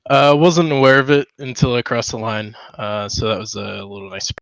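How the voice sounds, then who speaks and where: A man's voice: medium pitch, little pitch movement, normal speed, vocal fry, a bit bright, no room sound. A man briefly and easily answering questions after a sim race. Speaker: race driver, second place. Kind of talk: post-race interview.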